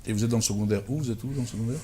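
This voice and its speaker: A man hosting a talk show, interviewing a guest in a halting way, repeating words and filling pauses. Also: mid-range voice, warm tone, a little hiss or hum.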